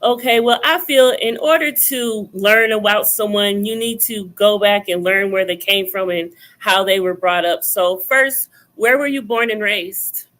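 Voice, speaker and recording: Bright-voiced woman interviewer. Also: mid-range voice, measured pace, hardly any pauses. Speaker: interviewer, host. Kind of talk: conversational interview questioning.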